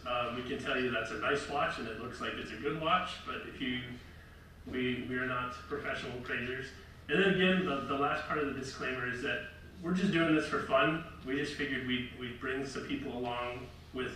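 A middle-aged man with a loud, bright voice presents to a roomful of people, rambling and restarting his sentences. He is heard from far off in a very echoey hall, with faint noise behind him. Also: mid-range voice. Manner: rambling, self-correcting, light laughs. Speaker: lecture presenter. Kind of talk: casual public talk with slides. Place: large room with seated audience. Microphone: far camera.

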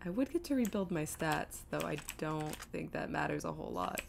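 Low-voiced woman streamer, noisy mic. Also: lively intonation, normal speed, creaky. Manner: self-deprecating, exasperated muttering to herself. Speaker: video game streamer. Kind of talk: casual live gameplay commentary, thinking aloud.

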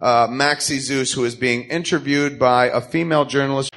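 A man's voice: medium pitch, steady tone, normal speed, a bit bright. A man casually narrating a comic book script as a podcast host.

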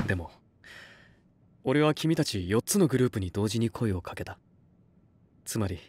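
A male actor playing an earnest, soft-spoken young record label head in an anime voice drama, speaking in a measured way. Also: lowish voice, breathy edge.

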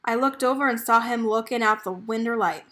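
A woman with a fairly high voice reads a picture book aloud. She narrates in an expressive storytelling style. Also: fairly quick.

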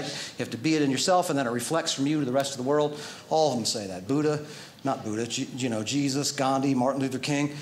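A middle-aged American man performing a stand-up comedy set into a handheld microphone on a theater stage, animated and breaking into loud mock scolding. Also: mid-range voice, slight background noise. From United States. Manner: animated, jumps into loud shouting when acting out scolding. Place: theater stage with a live audience. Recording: roomy theater sound with audience laughter.

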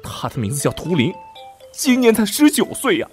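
Young prince male actor: melodramatic, animated pitch, theatrical grief, flustered stammers, costume radio play. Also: moderate pace, faint music behind. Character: young lecherous prince, secretly another soul, theatrically grieving and scheming. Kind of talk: Chinese costume audio drama acting.

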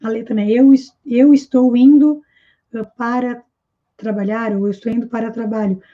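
A woman in her thirties teaching an online English lesson, slowly repeating example phrases and explaining them with care. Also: fairly high pitch, fairly even intonation, narrow, tinny audio. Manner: slow, careful repetition of example phrases.

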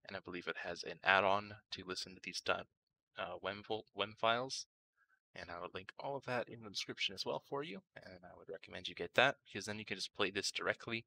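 A man talks through a software tutorial in an informal way, thinking aloud as he goes. His voice is low and gravelly at the edges, yet very bright and forceful. He sounds like a casual guide explaining each step. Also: poor audio. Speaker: tutorial host.

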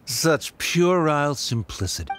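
A man's voice: a little high, very animated, a little slow, a bit bright, slight background noise. A man voicing a stern, haughty middle-aged scholarly father in a video game, with a cold, pompous manner. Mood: disdainful. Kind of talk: video game dialogue acting.

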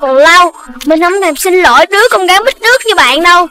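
A little Dalmatian boy from a children's cartoon, cocky and dismissive. The voice is very high and has a southern Vietnamese accent, with curt, scornful phrasing. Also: animated, fairly quick, sharp tone, exaggerated voice.

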